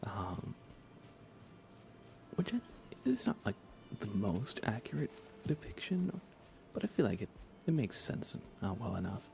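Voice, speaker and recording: A man hosting an ASMR gaming livestream, speaking in a breathy, hushed, reassuring whisper with some vocal fry. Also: lowish voice, wildly swooping pitch, a little slow, pauses often, soft background music. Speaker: livestream host.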